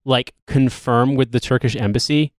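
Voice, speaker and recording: A man's voice: medium pitch, steady tone, moderate pace, dry recording, studio quality. A man hosting a podcast, talking casually about politics in a rambling, wry and sweary way. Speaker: podcast host. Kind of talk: casual, joking political discussion.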